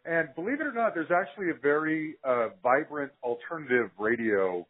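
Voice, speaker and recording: A man's voice: a little high, expressive, normal speed, breathy edge. American male podcast host, enthusiastic and conversational, phone-like narrow sound. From Utah, United States.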